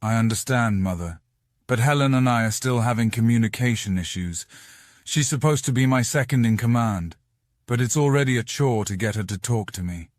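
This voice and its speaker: A man's voice: medium pitch, moderate pace, no room sound, clean studio sound, natural voice. A man playing a young fantasy commander, a teasing and protective older brother, in an audiobook. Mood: reluctant, respectful. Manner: teasing, smirking. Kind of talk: audiobook character acting.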